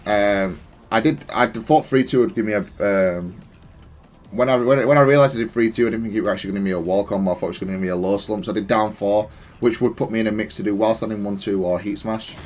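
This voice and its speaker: Low-voiced man analysing gameplay over music. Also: fast. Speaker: gamer explaining own match replay.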